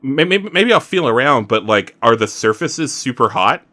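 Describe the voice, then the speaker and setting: Animated, joking man playing a tabletop game. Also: mid-range voice, normal speed. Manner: joking, mock-complaining. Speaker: player in a tabletop roleplaying game. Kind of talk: casual chat with in-character improvisation.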